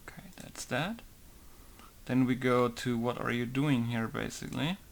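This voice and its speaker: A man's voice: mid-range voice, measured pace, vocal fry. A man casually talking through a game modding tutorial in an informal, rambling way, swearing now and then. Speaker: game modder.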